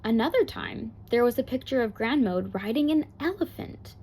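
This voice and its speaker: A woman's voice: fairly high pitch, animated, normal speed, a little mellow, slightly rough. A woman narrating a children's picture book aloud as a bedtime story. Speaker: storybook reader.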